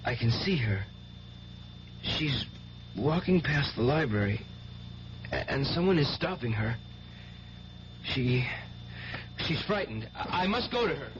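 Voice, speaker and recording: A dull, hissy old radio recording carries a devout young man. He sounds tormented and halting, his pitch rising and falling widely with fervent conviction. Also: big pitch swings. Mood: anxious. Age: young adult. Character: devout, tormented young man who believes he has visions. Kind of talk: 1970s radio drama acting.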